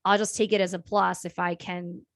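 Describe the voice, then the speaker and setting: A woman co-hosts a casual podcast, speaking in a reflective, measured way as she thinks things through. Her voice is somewhat low and is recorded close and dry. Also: flat delivery, a bit bright, no room sound. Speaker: podcast co-host. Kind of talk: casual chat.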